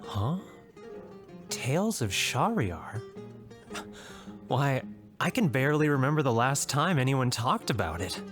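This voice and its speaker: A man's voice: medium pitch, sing-song, measured pace, long pauses, over music. A man voicing a resigned, self-deprecating cafe storyteller in a video game, with a theatrical manner. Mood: surprised, wistful.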